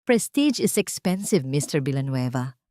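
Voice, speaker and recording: A woman voicing a cold, haughty woman designer in a dramatic story, measured and threatening. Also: rumbling low, very animated, dark tone, dry recording, clean studio sound, pitched below the performer's own voice. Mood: cool, calculating. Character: cold, commanding glamorous woman designer set on revenge.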